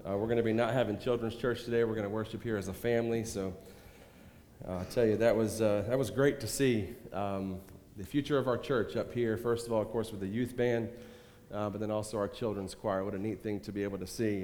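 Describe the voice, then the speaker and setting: A man's voice: lowish voice, fairly quick, a little hiss or hum. Guy pastoring with a cold, lots of uh and i tell you, big echoey church. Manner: warm rambling talk with many fillers and repeated "I tell you". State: has a cold, occasional cough. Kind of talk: informal church address. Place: church sanctuary. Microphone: handheld or stage microphone. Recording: reverberant church hall.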